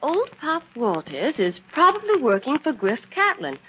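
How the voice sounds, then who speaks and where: An actress playing an eager, questioning detective's assistant in an old-time radio drama, earnest and expressive. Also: female voice, high-pitched, normal speed. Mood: curious, earnest. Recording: muffled, hissy old radio recording.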